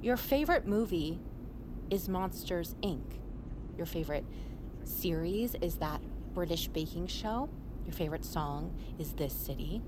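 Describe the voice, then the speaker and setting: A woman's voice: lowish voice, lively intonation, a little slow. An actress playing an exasperated but loving middle-aged mother in a naturalistic audio drama. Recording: loud airplane cabin noise.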